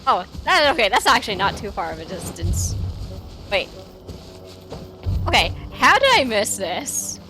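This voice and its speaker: A woman's voice: medium pitch, very animated, unhurried, long pauses, sharp tone. A woman game streamer making casual live chatter while she plays. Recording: game music behind the voice.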